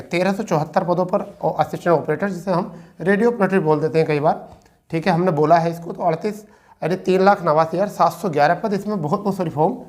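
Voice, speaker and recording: Higher-pitched male teacher. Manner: repetitive, checks in with listeners often. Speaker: exam-prep teacher and presenter. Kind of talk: informal explainer lesson addressed to students.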